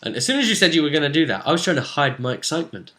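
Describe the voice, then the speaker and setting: Man, game master, bright forceful voice, lively swooping intonation, casual session recap, hesitant asides. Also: a little high, racing. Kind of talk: casual chat recapping a tabletop role-playing session.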